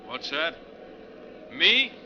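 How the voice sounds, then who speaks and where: An actor playing a surly, defensive bus passenger in a 1940s radio drama, curt and gruffly dismissive. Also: male voice, a little high, sing-song, very slow, pushed and bright, exaggerated voice. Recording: dull, noisy 1940s radio recording.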